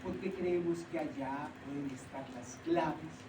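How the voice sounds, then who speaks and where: An actress addressing a theater audience in an emphatic, declamatory way. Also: female voice, sits low, measured pace. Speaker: stage actor. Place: small theater with a live audience. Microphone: far camera. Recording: echoey room, far camera, audience noise.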